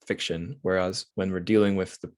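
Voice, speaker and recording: Male podcast host, low register, casual literary chat, rambling and self-deprecating. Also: level tone, moderate pace, a little mellow, clear, resonant tone. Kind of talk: casual book discussion.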